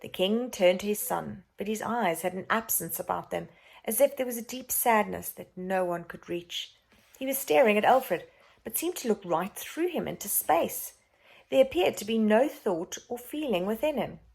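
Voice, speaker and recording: A woman reading a children's storybook aloud, expressive, dramatic and emphatic in her narration. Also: mid-range voice, normal speed, gravelly, husky.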